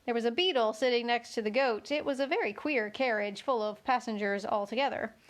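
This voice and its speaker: A woman's voice: on the high side, fairly quick. A woman narrating a children's storybook as a read-aloud. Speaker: storybook reader.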